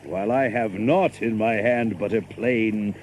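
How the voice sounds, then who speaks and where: Sturdy giant stranger, male actor: slow, taunting, theatrical audio drama delivery over faint noise. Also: on the low side, a little mellow, close to an everyday voice. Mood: scornful. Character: huge, sturdy, fearless stranger with a quarterstaff. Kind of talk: audio drama acting in archaic English.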